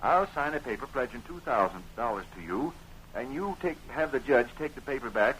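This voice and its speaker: An American actor playing an honest, frustrated gold miner in 1930s radio drama style, with folksy rustic speech. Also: male voice, mid-range voice, lively intonation, brisk, fairly bright tone, close to an everyday voice. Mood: pleading. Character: honest, frustrated male gold miner, plain-spoken frontier husband. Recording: noisy 1930s radio recording.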